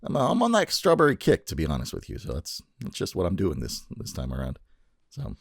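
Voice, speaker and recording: Deep, gravelly man with swooping intonation. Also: huge pitch swings, fairly quick, dry recording, studio quality. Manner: playful teasing, swears casually. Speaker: podcast host. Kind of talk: casual chat.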